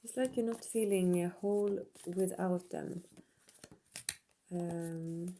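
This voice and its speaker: A woman with a Swedish accent doing a casual tarot reading, hesitant and full of pauses, speaking English as a second language. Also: lowish voice, warm tone, slight background noise. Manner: hesitant, many ums and pauses. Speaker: tarot reader. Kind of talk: slow casual card reading.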